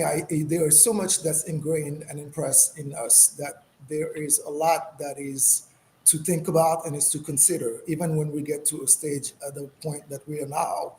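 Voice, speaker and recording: Male interview guest from Senegal, slightly high voice, thoughtful and halting, reflective delivery, noticeable background noise. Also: warm tone. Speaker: guest, spiritual healer. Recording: clearly noisy background.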